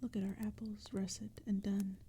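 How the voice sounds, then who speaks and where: A woman reading poetry as ASMR narration in a soft, breathy, hushed whisper, gentle and measured. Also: medium pitch, level tone. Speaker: narrator and reader. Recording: background crackling fire and forest ambience. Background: crackling fire and forest sounds.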